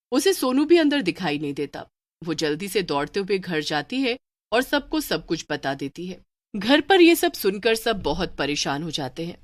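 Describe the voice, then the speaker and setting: A woman's voice: fairly low pitch, no room sound. A woman narrating a scripted cartoon horror story as a storyteller.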